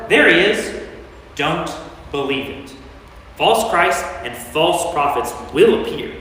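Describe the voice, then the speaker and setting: A man's voice: medium pitch, pushed and bright. Commanding teacher in an echoing church, acted by a man. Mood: urgent, emphatic. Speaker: lay performer in a church staged reading. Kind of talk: staged scripture reading. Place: large reverberant church. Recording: echoey church, distant mic, faint noise.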